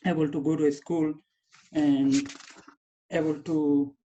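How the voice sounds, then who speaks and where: A young man whose first language is not English recounts his past in a steady, flat voice, often stopping to restart phrases. His tone is soft and mellow, somewhat higher than most men. The recording sounds dull and lo-fi. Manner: halting, repeats and restarts phrases. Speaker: graduating student telling his story. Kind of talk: personal testimony.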